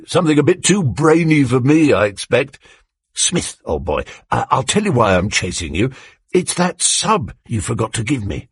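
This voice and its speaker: A man's voice: mid-range voice, very animated, normal speed, breathy edge, no echo, pitched above the performer's own voice, full character voice. A man voicing a cheery, zealous, bluff male neighbour who talks in a chummy way. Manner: cheery and eager, chummy "old boy" talk.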